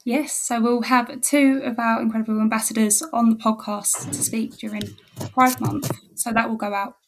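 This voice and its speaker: Upbeat woman co-host, slightly high voice. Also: steady tone, moderate pace, background noise. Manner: upbeat, with frequent ums. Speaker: podcast co-host. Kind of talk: casual podcast chat.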